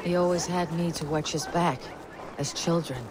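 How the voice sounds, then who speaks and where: A woman playing a stoic, thoughtful Viking warrior in a video game, measured, grave and resolute. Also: on the low side, fairly even intonation, background noise, lightly acted. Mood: regretful.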